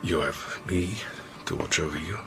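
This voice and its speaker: An actor playing a watchful, tender, earnest schoolmaster in a period drama, murmuring hesitantly and repeating words. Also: male voice, very deep voice, normal speed, music in the background. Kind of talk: period audio drama acting.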